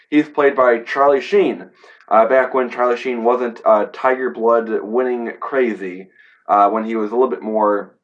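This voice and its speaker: Male teacher type, stuffed up with a cold, loose and rambling delivery with lots of "you know" and "uh", medium pitch, on a muffled, lo-fi recording. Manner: rambling, with many fillers and playful asides. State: congested from a cold. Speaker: history teacher reviewing a film. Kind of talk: casual classroom-style movie review.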